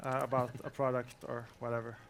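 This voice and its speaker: A man presenting at a conference, talking in a relaxed, joking way with many fillers. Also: mid-range voice, fairly quick, gravelly. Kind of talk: casual conference talk. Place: conference room.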